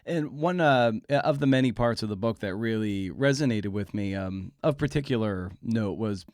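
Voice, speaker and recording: A man with a fairly low voice speaks as a podcast host in a close, dry recording. He talks casually and haltingly, doubling back on words as he works toward his point. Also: expressive, a little mellow, no echo. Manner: halting, repeats words while building a point. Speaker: podcast host interviewing an author. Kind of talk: casual conversational interview.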